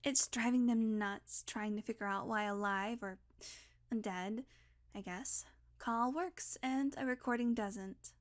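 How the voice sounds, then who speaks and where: A sardonic female vampire with a fairly high voice, played by a woman, talking one-to-one in an audio roleplay. She is centuries old but banters casually, swearing and teasing while grudgingly caring for her listener. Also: slow. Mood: wry, irritated.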